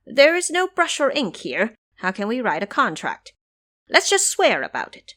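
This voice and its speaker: An excitable little demon imp from an audio storybook, acted out by a woman, pitched high and swooping. He is gullible and easily awed, full of wide-eyed questions and exclamations. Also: moderate pace, no echo, clean studio sound, heavily acted. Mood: insistent.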